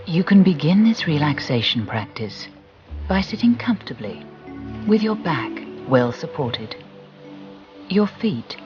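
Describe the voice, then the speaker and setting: A soothing, gentle voice guiding a relaxation and visualisation exercise, deliberate and with long pauses. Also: medium pitch. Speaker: guided relaxation instructor. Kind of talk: guided visualisation narration. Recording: muffled recording with music behind. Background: background music.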